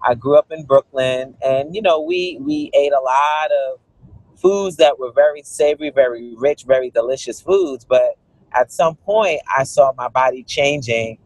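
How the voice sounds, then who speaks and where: A man's voice: mid-range voice, a little slow, no echo, poor audio. Dry-voiced Guyanese-born man explaining. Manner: earnest, explanatory, frequent "you know" fillers. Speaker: cookbook author and guest. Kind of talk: interview conversation.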